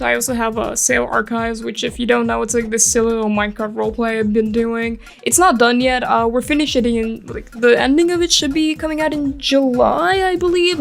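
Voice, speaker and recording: A woman's voice: a little high, creaky. A young woman with an American accent giving casual, chatty commentary in a rambling, self-deprecating, giggly way. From England and Eastern Europe, later New York. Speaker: art YouTuber. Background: background music.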